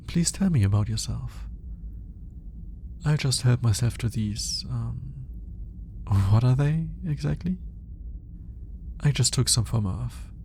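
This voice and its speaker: A man playing an alien scientist in an ASMR roleplay, formal and curious, with clinical wording and hesitant, flustered pauses as he awkwardly courts the listener. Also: mid-range voice, creaky, mellow, slight background noise. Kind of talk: ASMR roleplay audio drama, speaking to the listener.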